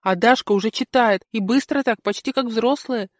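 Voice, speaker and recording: Lady doing a little boy telling a story, naive n earnest, highish voice, really dry sound. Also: normal speed, dry recording, natural voice. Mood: earnest, excited. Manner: earnest, expressive, childlike wonder. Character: eager, naive little boy of about six. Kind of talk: first-person storybook narration.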